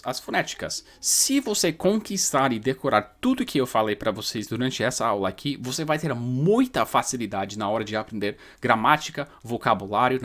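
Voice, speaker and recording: A man's voice: medium pitch, a bit bright. A man from New York, a native English speaker with an American New York accent, teaching pronunciation informally to viewers, explaining with repeated, stressed words. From New York, United States.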